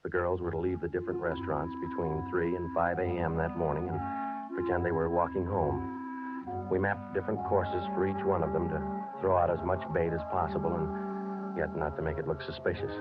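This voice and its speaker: A man's voice: sits low, lightly acted. An American man playing a terse, dogged police detective who narrates his case in a clipped 1950s radio drama style. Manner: deadpan, clipped, matter-of-fact. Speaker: actor and narrator. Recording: tinny old radio broadcast, music behind.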